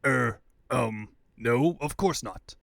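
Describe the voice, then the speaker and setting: A man's voice: medium pitch, lively intonation, takes it slowly, creaky, fairly bright tone, no echo, voice pushed down, heavily acted. A grandiose male mad scientist from a cartoon fandub, acted out by a man. He talks in a theatrical, pompous way and is easily exasperated. The sound is dry and close. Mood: scheming, flustered. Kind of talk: comic book fandub.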